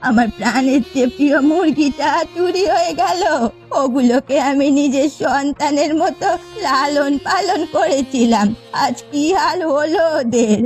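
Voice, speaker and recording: A woman's voice: high voice, a little slow, soft background music. A woman voicing a feisty, doting old village woman in a folk-tale cartoon, with a dramatic, wailing and scolding delivery. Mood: grieving.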